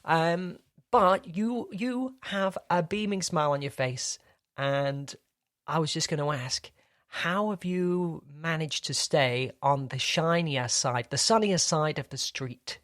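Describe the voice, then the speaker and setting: A man's voice: on the high side, slow, fairly bright tone, no echo, studio quality. A man hosting a casual podcast interview, friendly and chatty, often restarting his phrases. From Britain. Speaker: podcast host.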